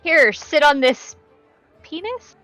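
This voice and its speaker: A woman's voice: high-pitched, animated, takes it slowly, bright, forceful tone, no room sound, soft background music. A woman playing in an online tabletop game, making casual, dry and wry remarks.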